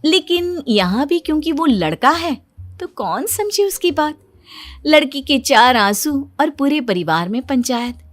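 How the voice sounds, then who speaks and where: A woman's voice: high voice, sing-song, unhurried, soft background music. A woman telling a story in a dramatic, wry narration that speaks straight to listeners. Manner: wry, addresses listeners directly.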